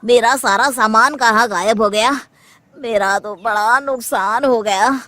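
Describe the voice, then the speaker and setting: A woman's voice: a little high, measured pace, sharp tone. A woman voicing a timid, easily panicked male village farmer in a story, exclaiming and pleading dramatically. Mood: dismayed.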